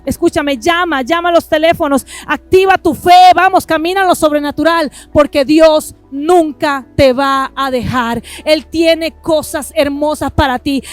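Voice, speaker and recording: A woman's voice: high voice, no room sound. A woman preaching an impassioned sermon and offering appeal, pressing her listeners and often breaking in with "listen to me". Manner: impassioned, repeats "listen to me", swings between rushed and drawn-out phrases.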